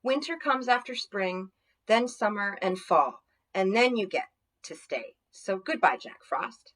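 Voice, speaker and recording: A woman's voice: medium pitch, lively intonation, a little slow, fairly bright tone. A woman voicing a small, brave male woodland plant in a children's storybook, speaking earnestly. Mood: defiant.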